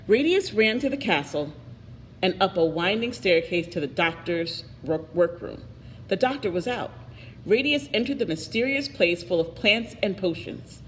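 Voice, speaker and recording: A woman's voice: mid-range voice, animated, normal speed. A woman reading a picture book aloud expressively, with little asides to her listeners. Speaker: storybook reader. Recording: echoey reverberant room, faint noise.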